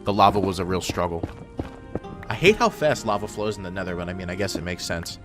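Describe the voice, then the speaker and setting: A man's voice: low voice, lively intonation, brisk. Casual young man narrating gameplay, music behind. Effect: voice filter. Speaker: gaming video host. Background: background music.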